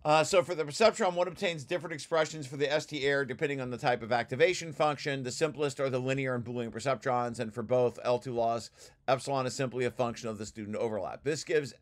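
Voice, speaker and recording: A man giving an informal technical walkthrough, explaining as he reads and often stopping to correct himself. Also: mid-range voice. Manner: halting, self-correcting, explanatory. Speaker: presenter reading through own technical paper.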